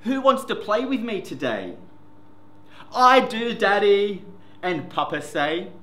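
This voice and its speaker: A man hosts a children's story time with a bright, clear-cut delivery and very lively, sing-song pitch. He takes his time over the simple words for small listeners. The room adds an echo, with faint noise behind him. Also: slow. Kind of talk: picture book read-aloud for young children. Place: indoor classroom reading corner.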